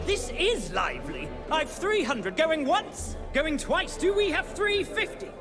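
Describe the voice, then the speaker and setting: An actor playing a genteel, excitable auctioneer in a video game, with showy, effusive, formal patter. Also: male voice, extremely high pitch, heavily acted. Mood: delighted.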